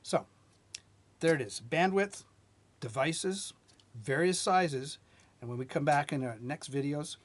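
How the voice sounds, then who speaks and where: Man explaining loudspeakers at a whiteboard. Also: on the high side. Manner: plain, measured teaching delivery with light restarts. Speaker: R&D manager presenting a technical lesson.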